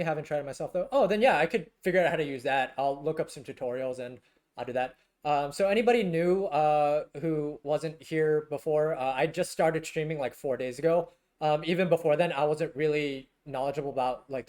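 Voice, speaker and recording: Scratchy-voiced young man chatting on stream. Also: a little high. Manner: rambling, halting, many fillers and restarts. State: scratchy, worn-down voice. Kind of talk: casual live-stream chat with viewers.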